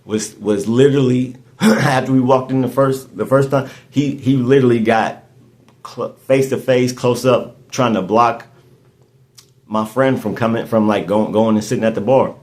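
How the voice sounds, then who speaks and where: A man's voice: mid-range voice, steady tone, normal speed, darkish tone. A man, hosting a podcast and telling a story in casual chat, rambling and restarting his words often. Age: 30s. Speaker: podcast host.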